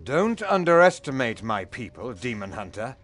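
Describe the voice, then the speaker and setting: Proud, animated old clan leader. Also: male voice, medium pitch, normal speed, faint music behind. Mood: dignified. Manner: formal, emphatic. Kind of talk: fantasy game acting.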